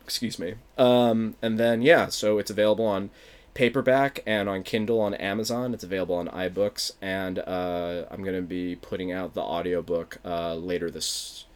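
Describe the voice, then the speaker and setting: Low-voiced man with a Philadelphia accent. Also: normal speed, clear, resonant tone. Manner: rambling, animated, drops consonants. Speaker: author and playwright. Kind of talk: casual interview chat.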